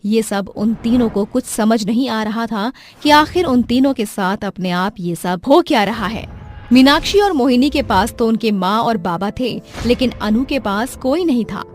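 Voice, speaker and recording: A woman's voice: a little high, animated, moderate pace. A woman narrator reading a scripted dramatic story for listeners. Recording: music behind the voice.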